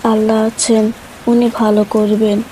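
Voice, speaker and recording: A woman plays a careworn village mother in a cartoon folk tale. Her voice is slightly high yet very soft-edged and dark, with faint rain hiss behind. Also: flat delivery, a little slow, lightly acted, low-quality recording. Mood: reassuring. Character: careworn farmer's wife and mother. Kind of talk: animated folk tale acting.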